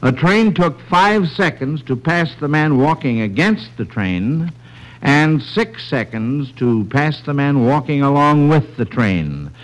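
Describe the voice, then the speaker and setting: An American man hosts a radio quiz from the 1940s. His voice sits a little high and swings freely in pitch as he presents each question. The sound is that of a vintage broadcast. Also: very animated, slow, poor audio. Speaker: quiz show host. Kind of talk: scripted quiz hosting on old radio.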